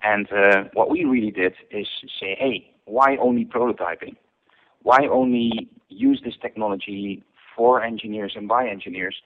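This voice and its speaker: A man from the Netherlands, a company founder giving an interview. He speaks in a measured way with many ums and uhs. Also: lowish voice. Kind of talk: interview over the phone. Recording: tinny phone line.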